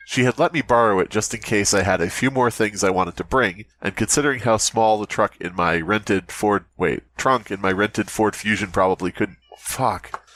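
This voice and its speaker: A man's voice: a bit bright, no room sound. A man co-hosting a comedy podcast, reading a story aloud and breaking off to joke, swear and laugh. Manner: laughing, swearing, breaks into squeaky silly voices. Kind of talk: casual comedic reading with riffing.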